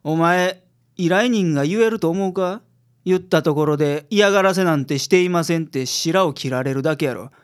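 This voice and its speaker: A man with a Kansai accent playing a gruff, pragmatic private detective in a radio drama. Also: on the high side, brisk, natural voice. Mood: blunt, gruff. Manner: blunt, worldly-wise. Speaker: voice actor in a community radio drama.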